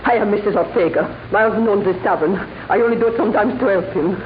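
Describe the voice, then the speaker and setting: A woman's voice: mid-range voice, fast talker, close to an everyday voice. An actress playing a proud, defiant tavern keeper's wife and mother, in a 1940s radio drama. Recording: tinny old radio broadcast with hiss.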